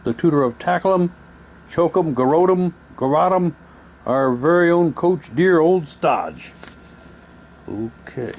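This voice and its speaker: A man's voice: a little high, expressive, measured pace, darkish tone. A man casually reading printed material aloud, switching between theatrical delivery and muttered asides.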